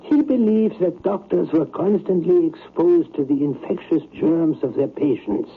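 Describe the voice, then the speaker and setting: An actor playing a skeptical, patronizing psychiatrist in an old radio drama, with a deliberate, lecturing manner. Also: male voice, a little high. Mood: assured, lecturing. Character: probing, skeptical male psychiatrist, confident and patronizing. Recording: narrow, noisy vintage radio broadcast.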